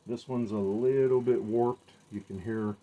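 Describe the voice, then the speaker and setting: A man giving a casual, unscripted show-and-tell, speaking haltingly as he thinks aloud. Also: medium pitch, fairly even intonation, dark tone. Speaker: collector presenting his gear. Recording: dull, muffled lo-fi sound.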